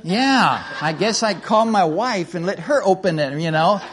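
A man's voice: sits high, expressive, measured pace. A middle-aged man from the United States preaching a sermon in a folksy way, often repeating words and restarting sentences.

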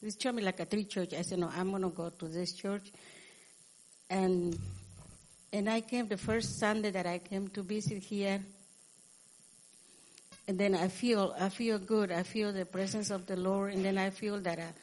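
A woman speaking haltingly with many fillers as she gives a testimony at a church service. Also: mid-range voice, fairly even intonation, a little mellow, poor audio. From USA. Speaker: church visitor giving testimony.

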